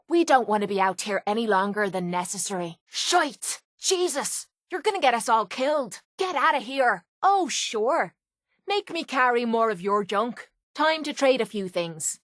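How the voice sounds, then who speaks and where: Brash, sarcastic Irish fighter, dry close sound. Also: female voice, on the high side, fairly bright tone, no echo. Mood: tense, irritated. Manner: sarcastic, blunt, cocky. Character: tough, brash, foul-mouthed young woman pit fighter, sarcastic companion. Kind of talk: video game companion voice lines.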